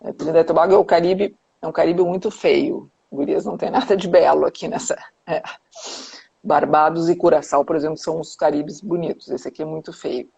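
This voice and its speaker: Woman, husky and a bit gravelly, hesitant and full of restarts, on dull lo-fi call audio. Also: low-pitched, fairly even intonation, brisk, dark tone. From Brazil. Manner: hesitant, many restarts and tag questions. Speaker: psychoanalyst guest. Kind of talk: casual panel conversation on a live video call. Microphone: video call.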